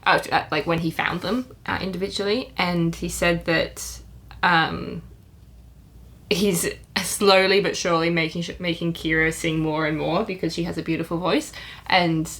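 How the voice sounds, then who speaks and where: A young woman co-hosting a podcast, talking in a warm, effusive way with lots of hesitations. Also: fairly low pitch, steady tone, moderate pace. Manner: effusive, hesitant with many ums. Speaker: podcast co-host. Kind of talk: casual review chat.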